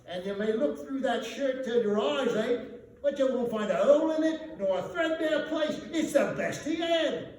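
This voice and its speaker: Man putting on a shrill, brazen thieving charwoman. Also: a little mellow. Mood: gloating. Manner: cackling, defiant, drawn-out exclamations. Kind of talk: dramatic storybook reading. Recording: very echoey room, distant mic, faint noise.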